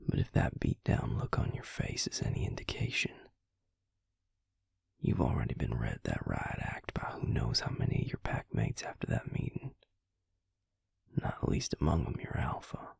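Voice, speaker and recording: A man playing a protective, devoted vampire lover in an intimate romantic audio roleplay, speaking gently and unhurriedly in a husky, breathy near-whisper. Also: very deep voice, huge pitch swings, normal speed, dry recording, studio quality, heavily acted. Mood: tender, protective.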